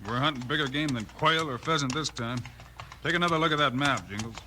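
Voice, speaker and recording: A man's voice: mid-range voice, quick pace, close to an everyday voice. An actor playing a commanding, decisive frontier lawman hero in a 1950s radio Western. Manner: decisive, businesslike. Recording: noisy old radio recording.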